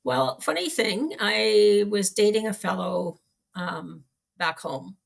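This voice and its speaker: A middle-aged woman with a Canadian accent, telling her own story casually as an interview guest, pausing to think. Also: medium pitch, gravelly, husky. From small-town Manitoba, Canada.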